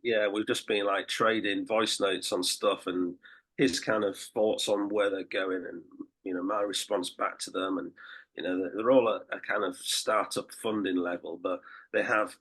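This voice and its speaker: A man co-hosting a podcast in casual chat, croaky from a late night, rambling with restarts and fillers. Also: fairly low pitch, steady tone, moderate pace, a bit bright, low-quality recording. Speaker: podcast co-host and political campaigner.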